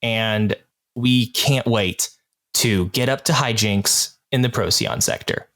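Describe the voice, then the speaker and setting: A man's voice: on the low side, slow, vocal fry, fairly bright tone. A man hosting a podcast in a casual, chatty style as he interviews a cast. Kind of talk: casual chat.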